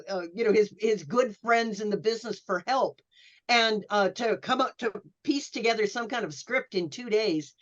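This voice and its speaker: Woman panelist, muffled lo-fi sound. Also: mid-range voice. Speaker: panelist giving historical background. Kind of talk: unscripted panel discussion. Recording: dull lo-fi online call audio.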